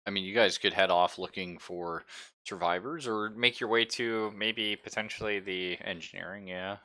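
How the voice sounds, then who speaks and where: A man's voice: fairly low pitch, steady tone, moderate pace, bright, forceful tone. A man casually chatting and narrating as game master of a live-streamed tabletop roleplaying game.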